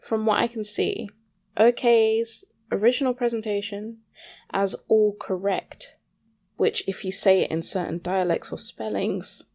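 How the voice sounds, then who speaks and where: A woman's voice: mid-range voice, vocal fry, a little mellow. A woman rambling casually as a solo podcast host, with a self-deprecating, mildly sweary way of talking.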